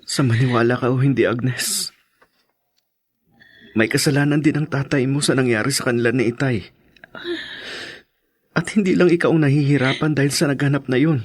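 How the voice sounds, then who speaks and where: Radio drama casting: man playing devoted young son, mid-pitched husky voice, sombre sincerity, close dry sound. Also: moderate pace, long pauses, no echo, close to an everyday voice. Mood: pained. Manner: earnest, sombre. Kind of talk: Filipino radio drama acting.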